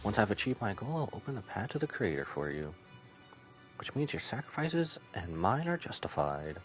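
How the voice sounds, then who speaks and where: A man voicing a cryptic, resolute cult overseer from a game in a measured, grave way. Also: fairly low pitch, sing-song, faint music behind.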